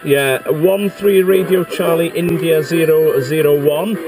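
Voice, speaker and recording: A man from Wales with a Welsh accent, working a CB radio and slowly spelling out call signs. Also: fairly high pitch, steady tone. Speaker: CB radio operator.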